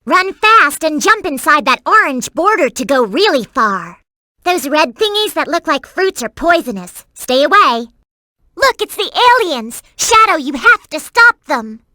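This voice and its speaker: A woman's voice: high voice, big pitch swings, unhurried, sharp tone, dry recording, clean studio sound, heavily acted. A woman voicing a perky, earnest and bossy young girl companion in a video game, with emphatic delivery. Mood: urgent, alarmed. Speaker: in-game hint-giving companion.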